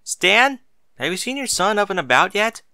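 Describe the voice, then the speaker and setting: Casting: exasperated, stern mom for a story reading, played by a man. Bright, raised voice, emphatic and motherly. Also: high-pitched, sing-song, moderate pace, clean tone, dry recording, clean studio sound, pitched above the performer's own voice, heavily acted. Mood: calling out. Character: exasperated, stern mother scolding and coaxing her children. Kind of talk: audiobook character voice.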